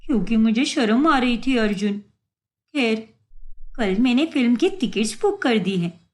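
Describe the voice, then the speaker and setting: A woman acts out a young woman in love, demanding and quick to flare up, for a cartoon moral tale. The voice is high and very animated, with dramatic emphasis on her feelings. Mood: shy, insistent. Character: lovestruck, demanding, hot-tempered young woman. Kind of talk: animated moral story acting.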